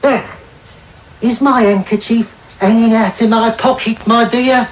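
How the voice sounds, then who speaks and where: A man's voice: very high voice, steady tone, measured pace, muffled recording. An actor in a radio drama playing a sly, wheedling old man who leads young pickpockets, with coaxing, theatrical delivery. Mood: fatherly, sly. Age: older. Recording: roomy, noisy medium-wave radio tape.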